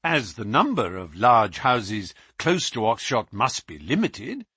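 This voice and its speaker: A man voicing a cool, analytical male detective who explains his reasoning in a measured, lecturing way. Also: a little high, bright, forceful tone, husky, no echo, studio quality, full character voice.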